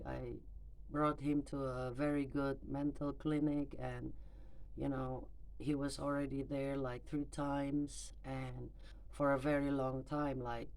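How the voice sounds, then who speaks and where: A woman's voice: very deep voice, a little mellow, rough recording. A woman in her forties who is not a native English speaker, talking hesitantly with many fillers into her phone camera for a personal vlog. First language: neither English nor German. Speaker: mother vlogger.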